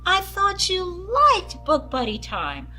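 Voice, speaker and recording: A woman voicing a kindly but firm female schoolteacher, measured and reassuring, in a storybook reading. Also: very high-pitched, huge pitch swings, sharp tone, pitched above the performer's own voice, exaggerated voice. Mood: concerned.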